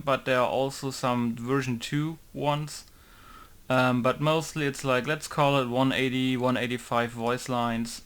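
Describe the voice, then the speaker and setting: A man's voice: mid-range voice, fairly bright tone, smooth. Young man rambling through a modding tutorial. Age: young adult. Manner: rambling, many fillers and self-corrections. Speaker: game modder. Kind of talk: casual tutorial commentary.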